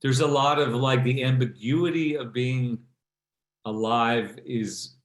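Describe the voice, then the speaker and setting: A man speaking casually as a guest in a panel discussion, haltingly thinking aloud. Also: medium pitch. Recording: roomy, echoey sound.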